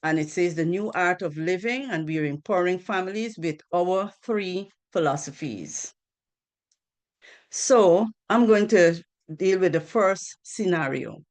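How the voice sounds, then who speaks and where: Woman, from Guyana, lower voice, presenter on a call, earnest, rhetorical questions. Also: animated, a little slow, darkish tone. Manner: earnest, repeats key points, asks rhetorical questions. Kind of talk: financial literacy presentation on a group call.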